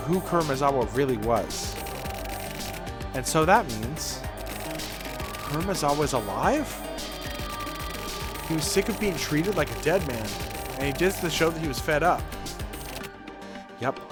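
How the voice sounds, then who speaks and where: A man streaming a game, reading its text aloud in character with a theatrical, colloquial delivery. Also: a little high. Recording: music playing behind the voice.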